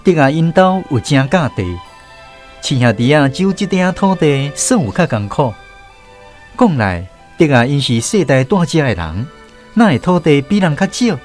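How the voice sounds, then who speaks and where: A man's voice: a little high, sing-song, moderate pace, faint music behind. A man with a Taiwanese accent, a radio host and storyteller reading scripted narration.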